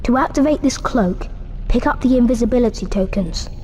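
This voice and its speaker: A woman's voice: on the high side, lively intonation, a little fast, mellow, close to an everyday voice. A woman voicing solemn, careful instructions in a video game. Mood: instructive. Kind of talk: video game cutscene acting. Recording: long hall reverb, faint noise.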